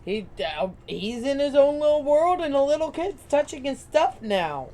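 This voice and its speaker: Woman game master, slightly high voice, talky and self-mocking narration. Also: big pitch swings, normal speed, mellow. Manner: chatty, self-deprecating, rambling narration with fillers.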